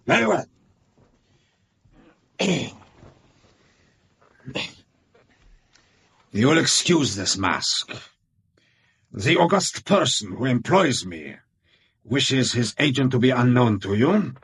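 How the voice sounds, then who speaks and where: A man's voice: medium pitch, big pitch swings, slow pace, long pauses, a bit bright, breathy edge, heavily acted. An actor playing a proud Bohemian king in a radio drama, with a pompous, formal manner. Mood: haughty.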